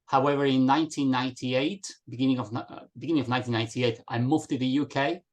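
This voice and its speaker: A man's voice: mid-range voice, fairly even intonation, fast talker. Middle-aged man. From Spain. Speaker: guest. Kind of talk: casual video call chat. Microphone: video call.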